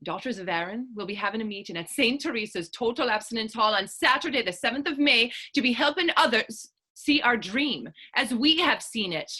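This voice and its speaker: A woman's voice: a little high, expressive, a little fast, few pauses, bright, forceful tone, husky, heavily acted. A woman playing Hannah, a spirited, determined Irish actress and patriot, in staged readers theater dialogue with a coaxing, earnest lilt. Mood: fervent.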